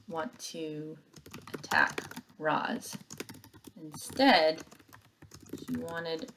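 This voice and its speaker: Lady teacher doing a read aloud for kids, lowish voice, expressive and explains stuff step by step. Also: gravelly, a little hiss or hum. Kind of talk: read-aloud and instruction for young pupils.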